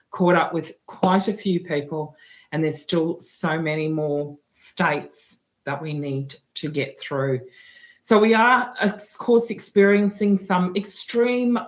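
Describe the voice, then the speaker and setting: A woman with a husky, tired voice hosting a livestream news talk, chatty and full of fillers and restarts. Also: fairly low pitch, low-quality recording. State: husky voice from talking too much. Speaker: guest news presenter.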